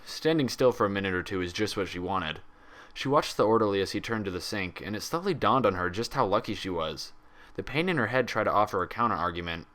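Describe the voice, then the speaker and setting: A man narrates a story expressively from a script. His voice sits fairly low, with a bright, forceful tone that carries the prose. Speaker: fan fiction reader.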